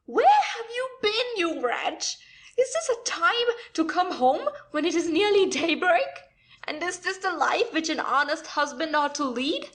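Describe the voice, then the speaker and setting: Woman as a high-voiced, indignant young wife. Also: expressive, unhurried, fairly bright tone, exaggerated voice. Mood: scolding outrage. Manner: dramatic, scolding, aggrieved. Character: scheming young wife playing the indignant victim. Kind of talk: audio play of classic stage comedy.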